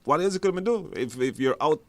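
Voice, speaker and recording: A man's voice: on the high side, expressive, fairly quick, no echo. A man hosting a radio call-in show in an informal, encouraging, conversational way. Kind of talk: casual live call-in hosting.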